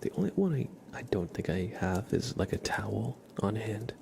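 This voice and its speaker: Male ASMR streamer, low gravelly murmur sliding into whispers, gentle and friendly, close-miked. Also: sing-song, normal speed, a little hiss or hum. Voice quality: soft-spoken, often dropping into whisper. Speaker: livestream host. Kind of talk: ASMR, chatting with viewers. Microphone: close ASMR microphone.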